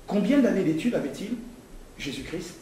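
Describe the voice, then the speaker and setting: A middle-aged man from Congo giving a speech at a press conference, moving from measured statements to fervent, rousing oratory. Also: sing-song, warm tone. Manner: rousing, rising to fervent preaching pitch when speaking to the crowd. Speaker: political candidate at a press conference. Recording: echoey hall from far off, some noise.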